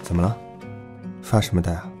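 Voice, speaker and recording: A man acting a young boyfriend in an audio drama, low voice, teasing, possessive, gruff. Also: steady tone, fairly quick, music in the background, natural voice. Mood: gentle.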